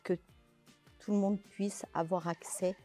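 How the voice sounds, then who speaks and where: A woman in her sixties answering interview questions, slowly and hesitantly, with many fillers and restarts, seated in a large bare indoor hall. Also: medium pitch, steady tone, mellow, faint music behind. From France, Pays de Gex. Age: 60s. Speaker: interviewee, literary event organizer.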